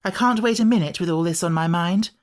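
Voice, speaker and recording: A man's voice: high-pitched, brisk, natural voice. A man voicing a genteel mother in an audiobook, proper and kindly but firm and anxious. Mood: distracted. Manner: proper, questioning, motherly authority. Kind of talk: audiobook character acting.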